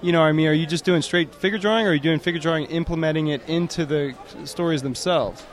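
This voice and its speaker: Male host, slightly high voice, teasing and rambling interviewer, recorded outside. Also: fast talker. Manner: teasing, rambling, many fillers. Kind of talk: casual interview.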